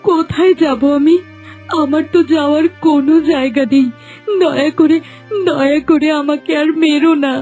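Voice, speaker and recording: A woman playing a distressed but stubborn young village wife in a cartoon, with a quick, emotional, pleading delivery. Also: sits high, steady tone, darkish tone. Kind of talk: melodramatic cartoon acting. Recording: music bed under voice.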